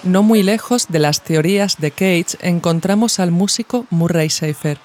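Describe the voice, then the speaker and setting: A narrator reads a scripted audio essay in a measured, reflective way, phrasing each thought deliberately. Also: medium pitch, darkish tone. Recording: music behind the voice. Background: music.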